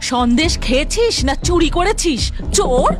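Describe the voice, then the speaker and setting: A woman voicing a spiteful, bullying aunt in a cartoon, scolding and accusing in a sharp manner. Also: high-pitched, sing-song, measured pace, music in the background. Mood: angry, accusing. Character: spiteful, scolding younger aunt bullying her nephew and sister-in-law.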